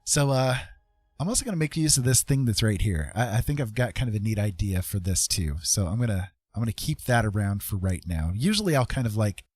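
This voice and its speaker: A man's voice: lowish voice, animated, fairly quick, a little mellow, husky, dry recording. A man hosting a casual drawing tutorial, thinking aloud in a rambling, informal way with frequent tag questions. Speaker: art tutorial host.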